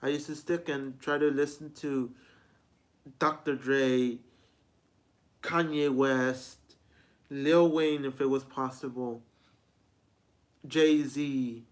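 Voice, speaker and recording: A man's voice: mid-range voice, fairly even intonation, takes it slowly, pauses often. A man in his twenties giving a rambling, repetitive personal vlog talk, often restarting his sentences as a music reviewer. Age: 20s.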